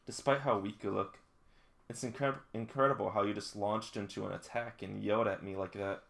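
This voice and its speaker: Casting: composed, blunt teen girl acted by a reader, low register, measured, comic read-along. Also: steady tone, gravelly, fairly bright tone. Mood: impressed.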